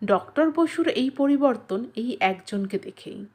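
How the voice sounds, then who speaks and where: A woman narrating a literary short story in an expressive scripted style, measured and with dramatic pauses. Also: on the high side.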